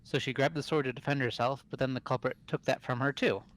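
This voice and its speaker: A man voicing an excitable, eager young student in a fan-dubbed mystery game. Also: medium pitch, fast talker, natural voice. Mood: eager, explaining.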